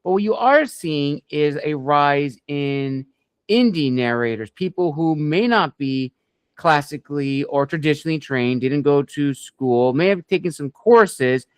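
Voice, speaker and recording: A man hosting an interview podcast, asking long questions in an earnest, measured and somewhat formal way. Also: on the high side, clean tone, no room sound. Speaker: podcast host, interviewer and author.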